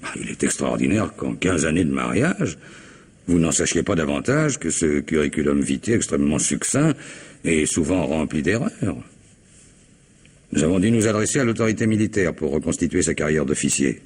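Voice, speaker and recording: A stern radio-drama commissioner, cavernous low voice, husky, measured and insistent. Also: male voice, sing-song, darkish tone, full character voice. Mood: probing. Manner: formal, measured, insistent questioning. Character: stern probing male police commissioner. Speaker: police commissioner. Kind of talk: 1960s French radio drama acting.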